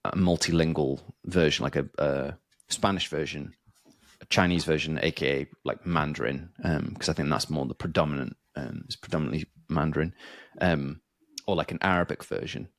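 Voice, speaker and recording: Deep-voiced man chatting on a podcast. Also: fairly even intonation, moderate pace, a bit bright, dry recording, studio quality. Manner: rambling, filler-heavy, many restarts. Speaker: podcast host. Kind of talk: casual chat.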